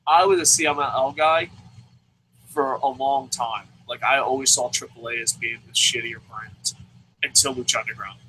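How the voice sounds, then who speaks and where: A man's voice: medium pitch, fairly even intonation, moderate pace, pushed and bright, a little hiss or hum, rough recording. A man hosting a casual wrestling review podcast, rambling and swearing freely, with stammering restarts. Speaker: wrestling review show host. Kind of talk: casual podcast chat, profane. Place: lives in Japan.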